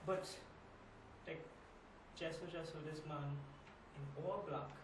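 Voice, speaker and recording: A man's voice: high-pitched, normal speed, close to an everyday voice. A man with a Trinidadian accent playing a shaken young cashier in a colloquial dramatic monologue. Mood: uneasy, hushed. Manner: colloquial, repetitive, emphatic. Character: shaken young restaurant cashier recounting a trauma. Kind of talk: dramatic storytelling monologue, amateur stage acting. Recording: echoey room, distant mic, faint noise.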